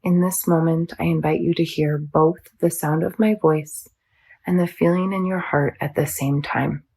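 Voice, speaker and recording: A woman teaching yoga nidra, guiding a meditation in a soothing, measured, instructional manner. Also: sits low, steady tone, gravelly, dark tone, husky. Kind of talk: guided meditation.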